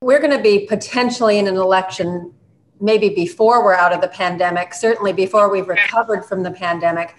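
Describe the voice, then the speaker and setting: A woman in her fifties calmly asking a candidate a question on a video call from home. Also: medium pitch, a little hiss or hum, low-quality recording. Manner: measured, inquiring. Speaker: moderator. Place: home, bright room indoors. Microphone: video call webcam.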